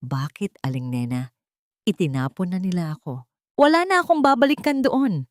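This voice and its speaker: A woman's voice: fairly low pitch, big pitch swings, normal speed, no room sound. A woman voicing a despairing young mother, an exhausted housemaid in an audio story, weak and trembling. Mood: weary.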